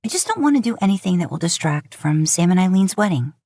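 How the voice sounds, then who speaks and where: A woman's voice: lowish voice, very animated, quick pace, dark tone, no room sound. Lady acting flustered young woman, careful n guarded, bit hesitant, audiobook dialogue, dry sound. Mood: guarded, playful. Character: cautious, flustered young working woman on a phone call.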